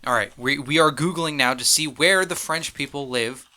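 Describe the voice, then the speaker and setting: A man's voice: a little high, normal speed, sharp tone. A young man co-hosting a podcast, teasing his friend and hyping up the next segment. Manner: teasing, mock-insulting, hypes segments. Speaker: podcast co-host. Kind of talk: casual sports chat.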